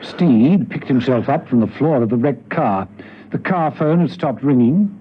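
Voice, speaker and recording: A man acting as the narrator of a spy radio serial, telling the story in a measured, dramatic way. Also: mid-range voice, lively intonation, breathy edge. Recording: dull, noisy old radio recording.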